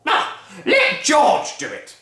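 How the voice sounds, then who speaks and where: A reader doing a loud nasty old grandma. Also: high-pitched, huge pitch swings, takes it slowly, pitched above the performer's own voice, heavily acted. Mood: shouting. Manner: shouting, bossy. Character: enormous nasty old grandma, greedy bully. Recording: echoey room.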